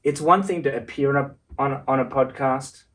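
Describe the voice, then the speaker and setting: A man's voice: medium pitch, normal speed, a bit bright, distant mic. A man hosting a podcast, introducing and chatting casually. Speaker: podcast host.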